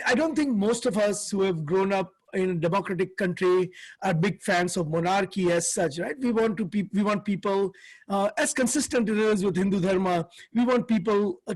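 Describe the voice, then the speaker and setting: A man's voice: high-pitched, rough recording. A man co-hosting a talk show discussion, speaking earnestly with many fillers and restarts. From India. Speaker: co-host.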